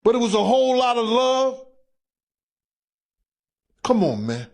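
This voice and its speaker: A man with a high voice makes his case in blunt street slang. He repeats phrases for emphasis and leans on pointed rhetorical questions. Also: big pitch swings, measured pace.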